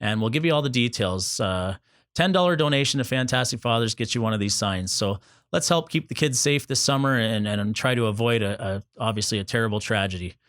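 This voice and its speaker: A man's voice: medium pitch, fairly quick, a bit bright, dry recording, clean studio sound. A man hosting a talk show, upbeat and joking as he introduces guests and promotes the show's causes. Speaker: show host.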